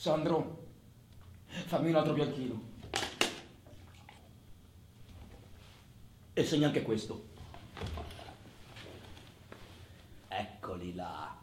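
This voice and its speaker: A man's voice: on the low side, echoey room, a little hiss or hum. An actor playing a bitter, boastful working-class man in a colloquial, sardonic theatrical monologue. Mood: sullen, muttering. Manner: colloquial, sardonic, swinging from muttering to shouting.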